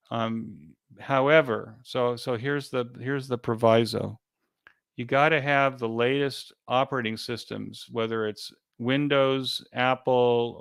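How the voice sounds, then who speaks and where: A man being interviewed as an event organizer, giving an informal step-by-step explanation in a halting, repetitive way. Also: mid-range voice, a bit bright, clean tone, dry recording.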